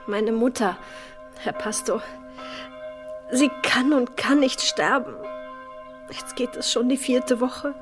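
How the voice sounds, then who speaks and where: A woman's voice: on the high side, slow, pauses often, over music. An actress playing a humble peasant woman, deferential and plaintive, in a radio play. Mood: pleading.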